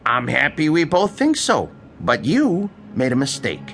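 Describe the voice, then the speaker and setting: An actor playing a grumbling, sarcastic, world-weary landlord in an audio drama, wisecracking and exasperated. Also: male voice, a little high, huge pitch swings, unhurried, a bit bright, soft background music, exaggerated voice. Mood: sardonic.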